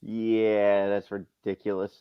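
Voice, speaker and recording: A man's voice: fairly low pitch, monotone, slow, clean tone, dull audio. A man chatting casually as a co-host on a stream, commenting on a video. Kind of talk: casual chat reacting to a video.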